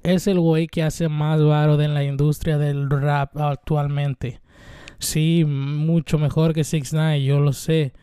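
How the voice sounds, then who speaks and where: A young Mexican man in his twenties rambles through a solo show, dropping swear words and fillers as he goes. His voice sits a bit high but sounds mellow and smooth. The recording is dry and close, with no room around him. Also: level tone, takes it slowly, no echo. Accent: Mexican Spanish. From Mexico (Veracruz). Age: 20s. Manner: rambling, irreverent, swears freely, many fillers. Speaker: podcast host. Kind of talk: casual solo monologue.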